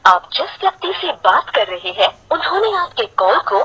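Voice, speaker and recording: A woman's voice: sits high, very animated, moderate pace, pushed and bright, slightly rough. A woman's automated voice giving a recorded hold announcement over a telephone line.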